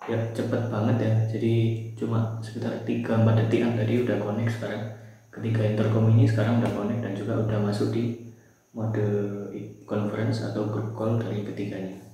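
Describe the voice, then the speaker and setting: Casting: young male tutorial presenter, fairly flat delivery, mellow tone, heavily reverberant small room. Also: on the low side, fairly quick. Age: young adult. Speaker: shop presenter giving product tutorial. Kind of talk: informal step-by-step product demonstration. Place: small bare room, indoors at a table.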